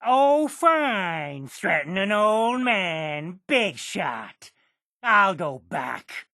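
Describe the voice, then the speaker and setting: Grumpy old hermit for a video game, raspy, sharp edged, sarcastic and stubborn, real animated. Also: male voice, high voice, drawn-out words, no echo, clean studio sound, exaggerated voice. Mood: bitter, grudging. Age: elderly. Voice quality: gravelly, raspy. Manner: ornery, sarcastic, indignant. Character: stubborn, cantankerous old hermit uncle guarding his homestead. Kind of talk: video game character dialogue.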